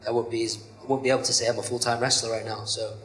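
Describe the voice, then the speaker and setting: A man's voice: mid-range voice, very fast, roomy sound. A young man, a pro wrestler, chatting casually in an interview, rambling with lots of fillers. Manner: rambling, many "you know" fillers, self-described monotone. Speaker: professional wrestler, interview guest.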